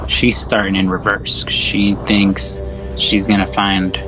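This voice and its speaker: A man with a fairly low voice chats casually about films as a podcast co-host. His talk is crude, full of swearing and joking, and music plays clearly behind him. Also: level tone, slow pace, poor audio. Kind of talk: casual film discussion with joking.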